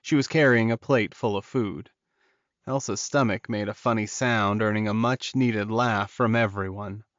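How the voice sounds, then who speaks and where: A man's voice: on the low side, fairly even intonation, normal speed, dry recording, dull audio. A man reading scripted fantasy romance as an audiobook narrator.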